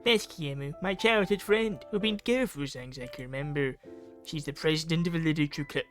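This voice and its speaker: A man's voice: fairly high pitch, fast, a bit bright, soft background music. A man reading a visual novel aloud in a theatrical way, dropping into offhand asides. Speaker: video game player reading aloud. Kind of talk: ASMR-style visual novel read-through with asides.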